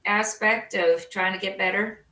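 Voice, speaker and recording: A woman's voice: medium pitch, steady tone, measured pace, pushed and bright. A woman co-hosting a casual opinion talk show, blunt and salty in her remarks. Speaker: co-host. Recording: echoey room, voice far from mic.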